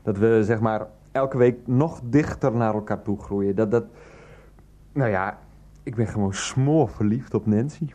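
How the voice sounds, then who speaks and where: An actor playing a middle-aged, feckless ex-teacher in a radio play, placating and hesitant, drawing out phrase endings. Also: male voice, on the low side, measured pace, warm tone, lightly acted. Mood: earnest, sheepish.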